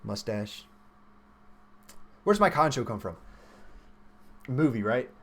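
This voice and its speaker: A man's voice: medium pitch, very animated, takes it slowly, fairly bright tone. A man in his twenties hosting a livestream, chatting informally and thinking aloud as he reads and answers viewer questions. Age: 20s. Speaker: livestream host, software developer.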